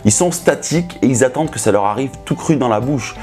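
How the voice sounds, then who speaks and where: A man's voice: mid-range voice, animated, fairly quick, darkish tone, soft background music. A man coaching in a didactic, instructional way, speaking directly to the viewer. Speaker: seduction coach hosting a video. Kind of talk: instructional talk to camera.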